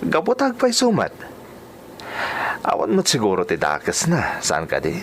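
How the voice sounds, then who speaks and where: A man's voice: medium pitch, huge pitch swings, moderate pace, slight background noise. A man acting a flirtatious, persuasive driver in a radio drama. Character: Lauro, flirtatious, persuasive male driver courting a coworker.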